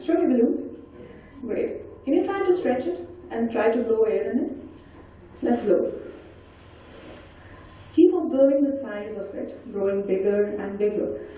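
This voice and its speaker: A woman giving an interactive storytelling talk, animated and coaxing as she puts questions and instructions to her listeners. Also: on the high side, a little hiss or hum. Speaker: storyteller and speaker leading an audience activity. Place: large indoor event hall. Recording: very echoey hall, distant mic, dull sound.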